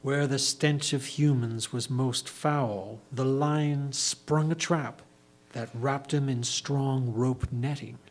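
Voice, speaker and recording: Slow, theatrical male storyteller. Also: mid-range voice, steady tone. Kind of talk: dramatic story reading for reader's theatre.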